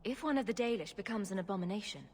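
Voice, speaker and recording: A woman plays a steady, advising heroine from a fantasy game. She speaks bluntly at a middle pitch, with long reverb and faint background noise. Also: fast, close to an everyday voice. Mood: blunt, questioning. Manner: direct, matter-of-fact. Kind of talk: video game voice acting.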